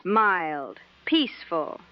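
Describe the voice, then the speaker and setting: An actress playing a composed 1960s radio co-host who speaks with deadpan, wry understatement. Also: female voice, fairly low pitch, drawn-out words, no room sound, highs cut off, exaggerated voice. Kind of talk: 1960s radio broadcast acting.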